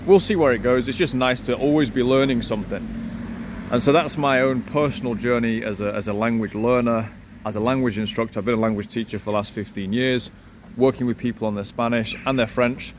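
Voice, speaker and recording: A man's voice: mid-range voice, a little fast, noisy background. A man in his thirties, a language teacher, talking in a playful, self-assured way in a casual vlog recorded outdoors. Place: outdoors in a city street, by a wall.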